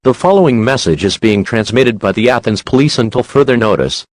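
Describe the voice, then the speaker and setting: A man's voice: mid-range voice, animated, fairly quick, no echo. A man reading scripted emergency alert announcements in a formal, stern manner.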